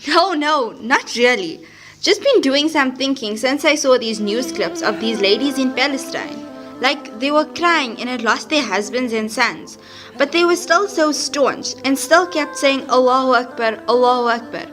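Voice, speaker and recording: Woman playing a wry, high-voiced schoolgirl. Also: lively intonation, unhurried, music in the background. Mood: earnest. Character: teenage schoolgirl, wry, chatty, earnest friend. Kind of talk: audio drama acting.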